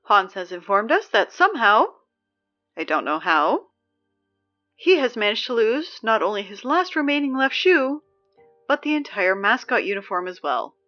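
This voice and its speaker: A woman's voice: fairly high pitch, very animated, normal speed, sharp tone, muffled recording, soft background music. An actress playing a teenage girl who reads school announcements over the speakers, dry and exasperated. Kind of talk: audio drama reading school announcements.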